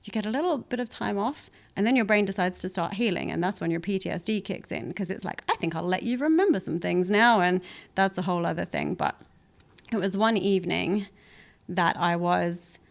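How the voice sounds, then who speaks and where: A woman's voice: mid-range voice, fairly quick, gravelly. Reflective chatty woman in her thirties. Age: 30s. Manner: reflective, chatty, laughs often. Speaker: podcast guest. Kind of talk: candid conversational interview.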